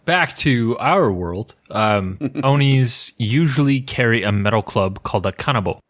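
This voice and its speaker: A man's voice: mid-range voice, expressive, unhurried, a bit bright. A man co-hosting a podcast, presenting folklore research in a loose, jokey way with restarts. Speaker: podcast co-host. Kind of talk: casual podcast chat, presenting researched lore.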